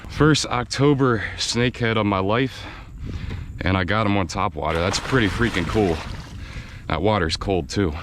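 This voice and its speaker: An American man with a slightly raspy, worn voice, chatting excitedly while fishing from a kayak outdoors, and laughing often. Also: lowish voice, moderate pace, noisy background. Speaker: fishing video host. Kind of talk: casual on-the-water vlog narration. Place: outdoors on a kayak in a windy swamp.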